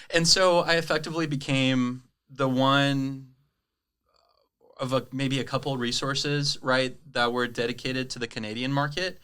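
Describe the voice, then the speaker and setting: A man from Brazil whose first language is Portuguese, a sales rep telling his career story in a casual interview, chatty and quick to laugh. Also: mid-range voice, sharp tone, clear, resonant tone. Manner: chatty, lots of "right?" tags and laughs. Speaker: guest, cloud sales rep.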